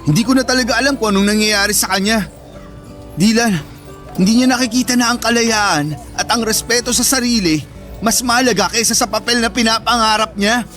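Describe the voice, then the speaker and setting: An actor playing a protective, caring older brother in an emotional radio drama, speaking earnestly and emphatically. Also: male voice, very high-pitched, fairly quick, bright, forceful tone, faint music behind. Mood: upset, frustrated. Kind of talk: radio drama acting in Tagalog.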